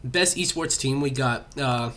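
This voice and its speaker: A man's voice: mid-range voice, unhurried, a bit bright. A young man hosting a casual podcast chat, rambling with lots of fillers and nursing a cough. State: has a cough. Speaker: podcast host.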